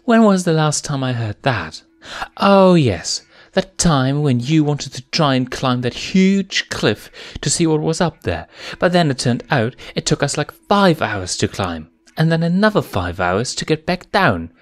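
A man's voice: on the high side, big pitch swings, pitched above the performer's own voice. A man voicing a playful young lioness cub girl with a teasing, bouncy manner in a fanfiction reading. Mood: alarmed. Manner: teasing, bouncy, quick to tease.

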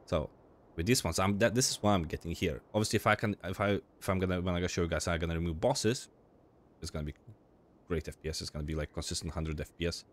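A man's voice: low-pitched, quick pace. A young man with a cold and a slightly crackly voice, rambling casually with lots of fillers as he explains game settings over his gameplay. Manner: rambling, informal, frequent fillers and restarts. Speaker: gaming channel host.

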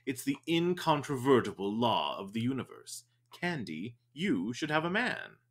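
A man's voice: medium pitch, very animated, normal speed, a bit bright. An actor playing a pompous, florid and dreamy male photographer sidekick in a radio detective drama. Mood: grandiose.

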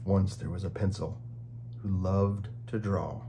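Low-voiced man reading a children's story. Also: steady tone, measured pace, gravelly, noisy background. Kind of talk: storybook read-aloud narration.

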